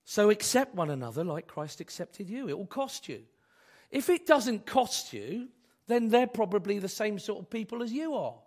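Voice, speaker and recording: A man preaching a sermon, hoarse from a cold, asking his congregation rhetorical questions in a conversational way. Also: high-pitched, big pitch swings, moderate pace, darkish tone. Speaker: pastor.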